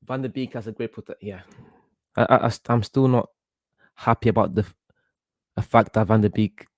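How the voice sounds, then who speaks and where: A young man from Portugal, about eighteen, hosting a casual football watchalong stream in an excitable, reactive way and often repeating himself. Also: on the low side, normal speed, no room sound, studio quality. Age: young adult, about 18. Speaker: livestream host.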